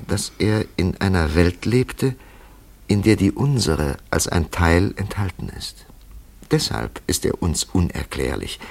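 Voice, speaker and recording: An actor in a German radio play playing a grave, thoughtful man who speaks in a measured, philosophical way with a gravelly voice. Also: deep voice, full character voice. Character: grave, thoughtful man pondering a supernatural mystery.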